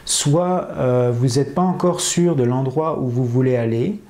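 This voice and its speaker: Mellow-voiced man in an echoey room. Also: mid-range voice, a little slow. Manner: hesitant, many fillers. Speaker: dating agency presenter. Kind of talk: explanatory talk to viewers.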